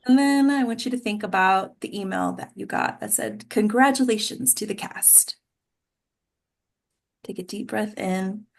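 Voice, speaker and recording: A woman with a creaky, mid-pitched voice hosts an online actors' group. She talks informally and encouragingly, like a coach guiding her students. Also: measured pace. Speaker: host and acting coach. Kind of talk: casual hosting of an online actors' table read. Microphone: computer mic on a video call.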